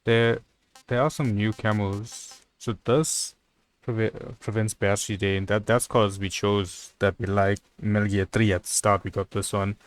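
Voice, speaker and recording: A man giving casual, relaxed live commentary as he plays a game, muttering asides to himself. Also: fairly low pitch, measured pace, no echo. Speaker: gaming streamer.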